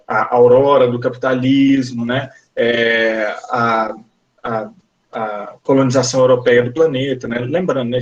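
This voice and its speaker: A man from Brazil with a coastal Brazilian accent, giving an online university lecture in a rambling way, full of fillers and tag questions. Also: medium pitch. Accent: Brazilian Portuguese, coastal.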